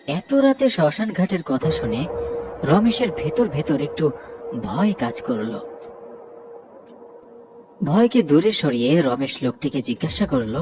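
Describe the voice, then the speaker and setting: A man's voice: fairly high pitch, sing-song, measured pace, pauses often, noisy background. A man narrating a scripted horror story as its storyteller. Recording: prominent music bed under voice.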